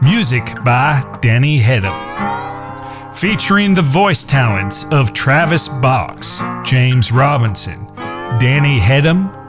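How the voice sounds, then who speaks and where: A man reading scripted end credits as the announcer for an audio drama. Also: mid-range voice. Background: plucked guitar music.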